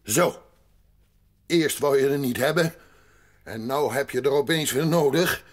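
An actor playing a gruff, stubborn old grandfather in a radio play, husky and blunt, speaking slowly. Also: mid-range voice, natural voice. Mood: indignant, angry. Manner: slow, grumbling, blunt. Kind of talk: Dutch radio play acting.

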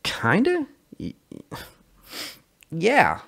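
A nervous, flippant smuggler, performed by a male story reader. He pitches up high and swoops around. He hesitates and stammers defensively, as if begging a favour. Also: wildly swooping pitch, extremely slow, gravelly, fairly bright tone, exaggerated voice. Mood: nervous, pleading.

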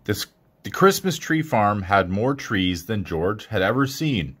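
Animated man reading a children's storybook. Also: lowish voice, measured pace, slightly rough. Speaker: storybook reader. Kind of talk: children's picture book read-aloud.

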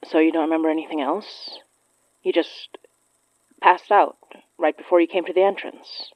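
Woman acting a low-voiced, guarded young woman. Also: fairly even intonation, normal speed, dry recording, dull audio, lightly acted. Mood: guarded, probing. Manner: hesitant, soft, evasive. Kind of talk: naturalistic horror audio drama acting.

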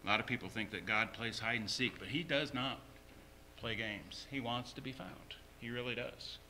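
Bright-voiced male pastor preaching. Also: mid-range voice, slight background noise, rough recording. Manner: emphatic, repeats short phrases. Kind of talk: sermon.

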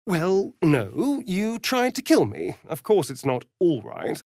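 A man's voice: fairly high pitch, huge pitch swings, slow, creaky, darkish tone, dry recording, clean studio sound, heavily acted. A male actor voicing a sly, vain vampire rogue in a fantasy video game, with an arch, flamboyant, sardonic drawl. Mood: bitter, scornful.

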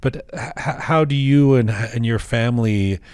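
A man hosting an interview, asking long questions in a halting way with many restarts. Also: medium pitch, darkish tone, clear, resonant tone, no echo, clean studio sound. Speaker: podcast host, interviewer.

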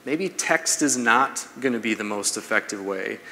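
A man's voice rings through a large, reverberant room. He speaks as a seminar presenter, at a middling pitch. His delivery is conversational and explanatory, laying out practical points for listeners. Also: animated, normal speed, sharp tone. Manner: conversational, explanatory, checks in with "right?". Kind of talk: business seminar talk to an audience. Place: large brick-walled event room, indoors. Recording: echoey hall, long reverb.

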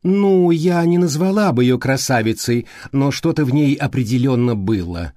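A man voicing a prim, brisk, unsentimental female secretary in an audiobook, precise and matter-of-fact. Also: mid-range voice, expressive, dark tone, dry recording, studio quality, lightly acted. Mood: gossipy, detached. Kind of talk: audiobook character reading.

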